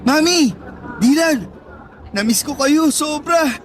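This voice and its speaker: A high-pitched young-sounding son, affectionate and expressive in radio drama style. He speaks respectfully, with boyish eagerness, over a faint noisy background. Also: slow pace, full character voice. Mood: overjoyed. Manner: expressive, pleading, boyish, respectful to his mother. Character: affectionate, eager grown son and older brother. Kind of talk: Tagalog radio drama acting.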